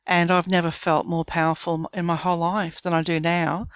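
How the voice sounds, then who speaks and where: A woman's voice: on the low side, level tone, brisk, clean tone, no echo. An Australian woman in her fifties speaking candidly and reflectively as a guest in a conversational interview. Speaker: interview guest, small business owner.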